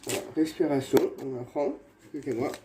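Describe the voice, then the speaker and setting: A man vlogging at home while he has a cold, showing his remedies in a halting, rambling way that repeats itself. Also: medium pitch. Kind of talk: casual home how-to vlog. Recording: echoey room with faint background noise.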